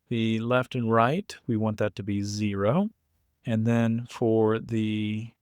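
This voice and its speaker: A man's voice: fairly low pitch, no echo, studio quality. A man teaching in an informal step-by-step screen tutorial, thinking aloud as he works. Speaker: coding tutorial instructor.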